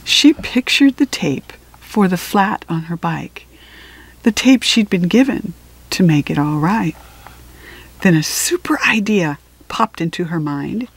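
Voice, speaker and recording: A woman reading a children's picture book aloud with expressive phrasing and deliberate pauses. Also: on the low side, husky. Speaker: storybook reader.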